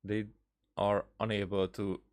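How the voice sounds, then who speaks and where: Halting, lowish-voiced male game streamer. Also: level tone. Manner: hesitant, halting, thinking aloud. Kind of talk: casual gameplay commentary.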